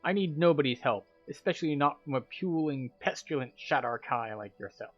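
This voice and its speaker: A man's voice: a little high, expressive, normal speed, highs cut off, faint music behind. A man voicing an arrogant, contemptuous warrior quest giver in a fantasy game, pompous and theatrical. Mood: scornful.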